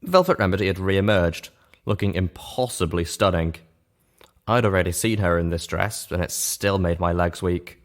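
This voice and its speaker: A man's voice: low voice, lively intonation, normal speed. A man reading a first-person story aloud as scripted narration. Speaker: narrator, reader of fan fiction.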